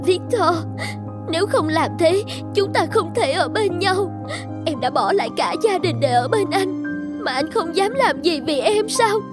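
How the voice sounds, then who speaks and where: A desperate young cartoon woman in love, extremely high and girlish. She pleads emotionally and with a strong will, over clear background music. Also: lively intonation, measured pace. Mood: pleading, hurt. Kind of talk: dubbed cartoon dialogue.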